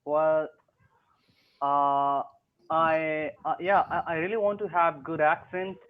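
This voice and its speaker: A man's voice: fairly high pitch, flat delivery, slow pace, clear, resonant tone. A young man who is learning English, talking hesitantly with many fillers on an online tutoring call. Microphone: video call. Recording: tinny phone-like call audio.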